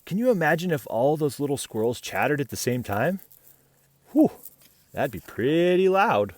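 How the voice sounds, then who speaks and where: Animated man reading to kids, swooping pitch. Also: moderate pace, no room sound. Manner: playful, asks the listener questions. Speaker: picture-book reader, host. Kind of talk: animated children's read-aloud with chatty asides.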